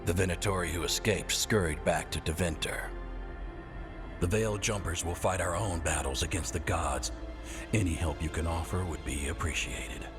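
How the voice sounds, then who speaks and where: A man playing a solemn, weary elven resistance leader in a game, speaking in a grave, measured way. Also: low-pitched, music in the background, lightly acted. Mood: grim resolve. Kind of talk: video game character dialogue.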